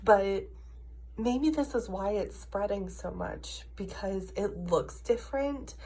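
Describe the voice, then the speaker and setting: An American woman in her early thirties talks casually to her viewers in a personal rant. Her voice is husky and often drops into a gravelly creak, worn by a cough and sore sinuses. She sounds frustrated and leans hard on her points at a medium pitch. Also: takes it slowly, mellow, rough recording. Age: early 30s. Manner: frustrated, emphatic. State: ill, with a cough and congested sinuses. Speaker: video diarist.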